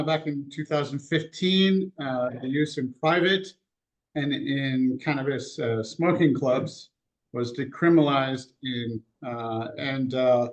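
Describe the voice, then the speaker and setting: Relaxed American man presenting research. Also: mid-range voice, rough recording. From United States. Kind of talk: webinar presentation.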